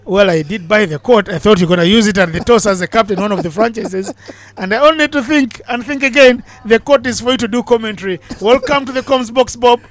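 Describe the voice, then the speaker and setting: A man commentating on cricket live from a commentary box, bantering and joking between balls and getting excited on big hits. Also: high voice, fairly quick, no room sound. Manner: chatty, jokey banter with big excited calls.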